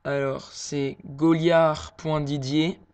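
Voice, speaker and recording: A man making a prank phone call, posing as a worried son and talking hesitantly with many pauses and fillers. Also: a little high, level tone, darkish tone, clear, resonant tone.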